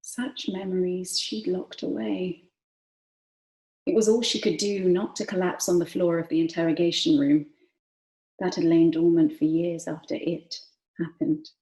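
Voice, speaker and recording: A woman reads literary prose aloud in a fairly low voice. Her delivery is dramatic and expressive, shifting between hushed and emphatic. The room around her voice is clearly echoey. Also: unhurried. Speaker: actor reading from a novel.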